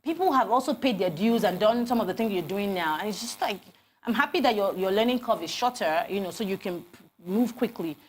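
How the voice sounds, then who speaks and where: A Nigerian woman in her forties, a TV interview guest telling animated stories about her career with many restarts. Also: mid-range voice, fairly quick, a little hiss or hum. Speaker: guest, HR consultant. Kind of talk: TV interview conversation.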